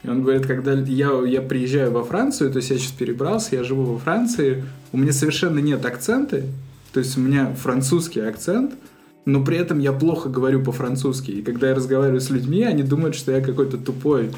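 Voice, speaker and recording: A man's voice: mid-range voice, quick pace, dark tone, soft background music. A man from Russia co-hosting a podcast, telling a story in a loose, chuckling, conversational way. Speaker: podcast co-host. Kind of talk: casual conversation.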